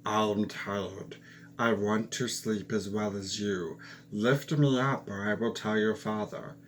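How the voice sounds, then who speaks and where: A demanding enchanted frog, as a man reads him aloud, sulky and insistent. His voice sits a little low and he stretches out every word as he makes his orders. A faint hum sits behind the storybook reading. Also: steady tone, slow pace.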